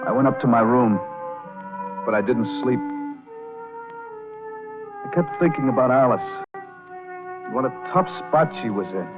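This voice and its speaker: An actor playing an earnest, awkward young man with a halting, boyish manner in an old-time radio drama. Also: medium pitch, expressive, lightly acted. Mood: brooding, narrating. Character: earnest, awkward young piano player narrating his story. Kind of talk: 1940s radio drama acting and first-person narration. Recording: tinny old radio recording with music.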